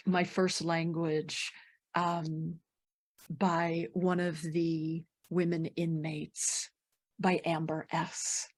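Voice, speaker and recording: Slow, soft-spoken woman poetry teacher. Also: fairly low pitch. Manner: slow, gentle, drops to near whispers. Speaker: poetry workshop leader. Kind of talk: round-table presentation.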